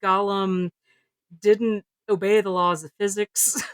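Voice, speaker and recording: A woman's voice: mid-range voice, level tone, takes it slowly, no echo. A woman co-hosting a casual podcast discussion, informal and giggly, with lots of "like" in her speech. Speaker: podcast co-host.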